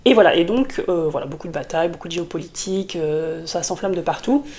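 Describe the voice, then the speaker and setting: A woman's voice: lowish voice, lively intonation, fast talker, darkish tone. A woman reviewing a fantasy novel in a casual vlog, with a sore throat from a cold and a rambling, filler-heavy way of talking. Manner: chatty and rambling, many fillers and restarts. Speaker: book vlogger. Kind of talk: casual book review to camera.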